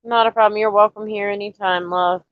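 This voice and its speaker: A woman chatting casually to viewers on a live stream, a little nasal and stuffy from allergies, rambling in a relaxed way. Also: mid-range voice, brisk, no room sound, phone-quality sound. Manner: rambling, relaxed, talks straight to chat.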